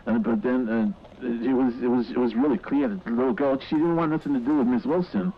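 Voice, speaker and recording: An actor playing a nervous, flustered mall escort giving halting, rambling witness testimony in an audio horror drama, on a lo-fi tape recording. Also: male voice, medium pitch. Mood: disgusted, uneasy. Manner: halting, stammering, rambling, repeats himself. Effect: lo-fi tape recording filter. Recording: muffled, noisy lo-fi witness tape.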